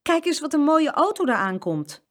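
A woman's voice: high-pitched, very animated, normal speed, pitched above the performer's own voice. A woman voicing a caring young girl, an earnest, coaxing peacemaker in a children's storybook. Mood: friendly, distracting. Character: caring, anxious young twin sister, eager peacemaker. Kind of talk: children's storybook character reading.

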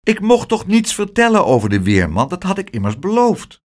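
A man's voice: sits high, huge pitch swings, normal speed, voice pushed up. Een man die een schuchter, stamelend jongetje voorleest in een kinderverhaal. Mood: frightened. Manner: hesitant, stammering. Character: timid young boy, nervous and stammering.

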